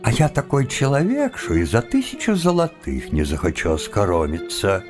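Brash young seminary student, lowish voice, swooping pitch, folksy tinge, audio drama over music. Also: male voice, huge pitch swings, moderate pace. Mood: uneasy, brushing off. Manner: folksy, swooping, exclamatory delivery. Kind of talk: audio drama acting of classic literature. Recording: music under the voice.

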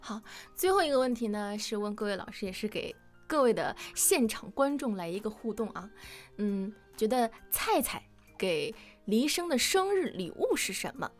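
A woman's voice: mid-range voice, very animated, moderate pace, faint music behind. A woman hosting a playful live-stream question-and-answer session. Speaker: host of a live Q&A with voice actors.

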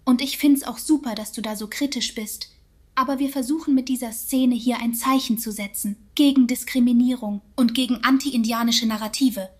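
A woman acting a chatty artificial voice in a radio play. She is slightly high-pitched, sweetly over-understanding and preachy, with casual therapy-speak. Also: steady tone, moderate pace, lightly acted. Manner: soothing, over-understanding, patronising. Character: chummy female synthetic AI voice, sweetly preachy.

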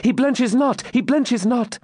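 A man's voice: high-pitched, lively intonation, normal speed, darkish tone, dry recording, studio quality, pitched above the performer's own voice. A man voicing a fervent, courageous young maiden with breathless, exclamatory, archaic storybook speech. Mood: agitated, urgent.

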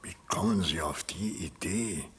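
A rattled scientist in his 50s, radio drama, really breathy husky voice, guarded but earnest. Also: low-pitched, measured pace, heavily acted. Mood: weary, guarded. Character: 51-year-old male scientist, shaken patient, guarded, earnest. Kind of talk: German radio play acting. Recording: vintage radio play recording.

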